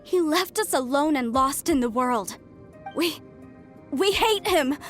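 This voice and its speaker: A woman's voice: sits high, unhurried. A woman voicing an earnest, proud young woman in a video game, polite but easily indignant. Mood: earnest, aggrieved. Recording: background music.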